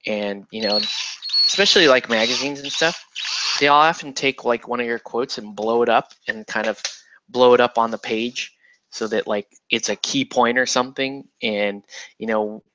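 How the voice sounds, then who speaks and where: A man's voice: medium pitch, steady tone, normal speed, noisy background. A man hosting a miniatures hobby show, chatting casually while he builds models, rambling and joking with lots of fillers. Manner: breaks into laughter and puts on silly voices.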